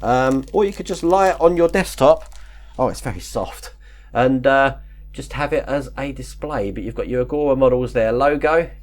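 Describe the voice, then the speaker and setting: A man hosting his model-building channel, chatting casually through an unboxing, hoarse from a chest infection and full of fillers and little laughs. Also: mid-range voice, normal speed. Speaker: model-kit channel host.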